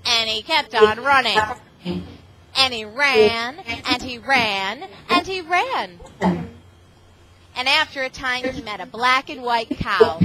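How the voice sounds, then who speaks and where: Female classroom storyteller: high voice, unhurried pace, expressive delivery for young children, loud background noise. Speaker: reading tutor and visiting parent reading to a class. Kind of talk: storybook read-aloud to children. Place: school classroom. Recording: very noisy amateur classroom recording.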